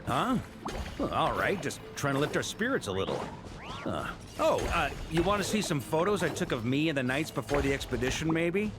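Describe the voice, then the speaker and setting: Seasoned knight leader, jovial, confident, mid-pitched, very lively intonation, anime game, light music behind. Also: male voice, moderate pace. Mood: breezy.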